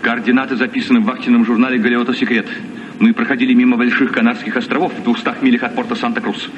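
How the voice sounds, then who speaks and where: An actor playing a gallant, earnest sea captain in a radio play, speaking in measured declarations. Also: male voice, medium pitch, flat delivery, natural voice. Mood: matter-of-fact. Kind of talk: Soviet radio play acting. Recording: muffled, distant, noisy old radio recording.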